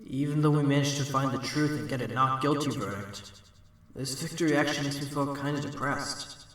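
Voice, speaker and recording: A man's voice: mid-range voice, steady tone, brisk, a bit bright, lightly acted. A man playing a compassionate, reflective hero in an audio drama, speaking earnestly. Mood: downcast.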